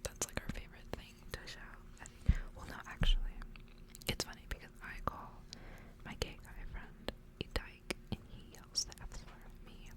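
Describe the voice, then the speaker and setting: A woman whispering in ASMR style, as a creator reading to listeners. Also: moderate pace.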